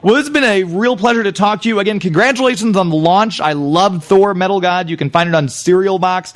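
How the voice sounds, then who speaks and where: A man's voice: high-pitched, fairly quick. A man hosting a radio interview show in a casual, friendly manner. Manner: friendly, complimentary.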